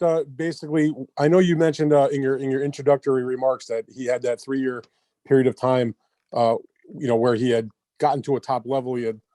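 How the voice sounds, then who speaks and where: A man's voice: mid-range voice, dark tone, dry recording, studio quality. A man working as a reporter, asking long, roundabout questions conversationally on a media call. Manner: wordy, roundabout. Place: remote media conference call.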